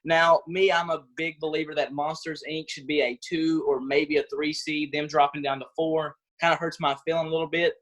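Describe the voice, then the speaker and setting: A man with a fairly high voice delivers sports commentary. He speaks as a studio analyst breaking down matchups on a mock selection show. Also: level tone, brisk, hardly any pauses, a bit bright.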